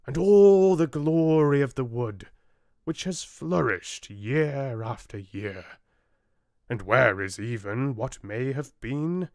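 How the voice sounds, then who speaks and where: A man voicing an ancient, awestruck oak tree in a fairy tale audiobook, with a grand, drawn-out delivery. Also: medium pitch, a little mellow, dry recording, clean studio sound.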